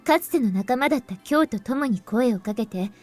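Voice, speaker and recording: A woman voicing a composed, serious young heroine in a visual novel, speaking in a measured, explanatory way. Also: on the high side, expressive, a little mellow. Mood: serious, explaining. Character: composed young woman revealing grave secrets, anime game heroine. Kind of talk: Japanese visual novel voice acting. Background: game music.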